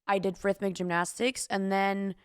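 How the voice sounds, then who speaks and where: A woman's voice: mid-range voice, flat delivery, normal speed, smooth, no room sound, studio quality. A young woman from Israel, a singer and guest, chatting casually with lots of "like" and restarts. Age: young adult. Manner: chatty, uses "like" a lot, restarts sentences. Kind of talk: casual interview chat.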